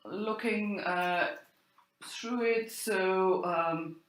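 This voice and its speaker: A woman giving an academic lecture as a university lecturer, speaking haltingly and thinking aloud. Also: mid-range voice. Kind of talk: academic lecture on medieval drama. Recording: roomy, echoing sound.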